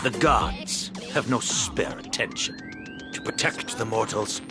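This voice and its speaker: Solemn ninja mentor in a game, super slow measured phrases, patient, music behind him. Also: male voice, on the low side, expressive. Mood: grave, philosophical. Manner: deliberate, measured, lecturing in short phrases. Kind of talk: dramatic video game voice acting.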